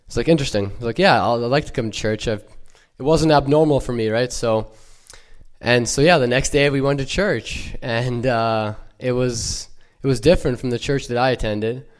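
Young man, church testimony, earnest, halting with fillers, smooth resonant voice, middle pitch. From Ukraine. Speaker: church member giving testimony. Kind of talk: personal testimony to a congregation.

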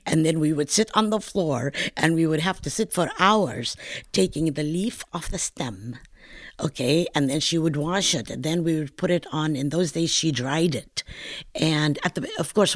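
An older woman with a northern Palestinian accent and Arabic as her first language, conversationally telling her story in a halting way as an interview guest. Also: low-pitched, no echo, clean studio sound. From Palestine, later California. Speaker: interview guest, home cook.

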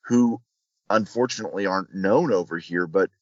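A man's voice: deep voice, moderate pace, dry recording. A man hosting a casual interview, speaking hesitantly as an admiring fan, on slightly dull audio. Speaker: podcast host, interviewer.

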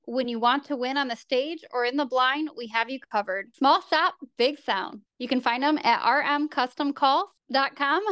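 High-voiced woman reading sponsor spots. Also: dry recording. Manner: scripted, ad-read delivery. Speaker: podcast host.

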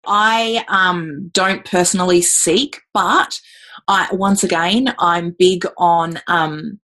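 A middle-aged woman with a fairly low voice, talking her way through interview answers. She pauses on ums and repeats words as she thinks aloud. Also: fairly even intonation, a bit bright. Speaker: guest.

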